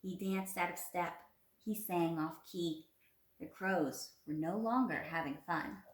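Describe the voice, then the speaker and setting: A woman's voice: lowish voice, a little slow. Young female teacher for a children's read-aloud, encouraging delivery, echoey room sound. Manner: encouraging, prompting with simple questions. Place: small indoor room at home. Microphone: phone at arm's length. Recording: roomy, echoey phone recording.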